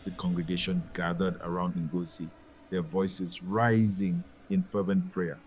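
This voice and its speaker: A man narrating a scripted folktale as a storyteller, in a solemn, deliberate and dramatic way. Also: deep voice, flat delivery, muffled recording, faint music behind.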